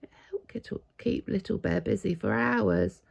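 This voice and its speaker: A woman's voice: medium pitch, wildly swooping pitch, slow pace, vocal fry, breathy edge. A woman reading a children's picture book aloud expressively, with dramatic emphasis on key words. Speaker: storybook reader.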